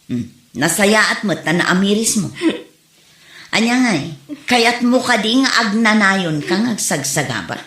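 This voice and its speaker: A woman's voice: mid-range voice, sing-song, measured pace, a bit bright. A woman acting a concerned, plain-spoken older village woman who reproves and advises in a comedy drama. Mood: concerned advice. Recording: echoey room.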